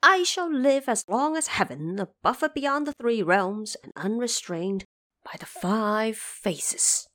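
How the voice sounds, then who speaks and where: A woman's voice: on the high side, lively intonation, slow pace, fairly bright tone, no echo, studio quality. A woman voicing a defiant, boastful monkey warrior in an audio drama, with an imperious, challenging manner. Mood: defiant, demanding.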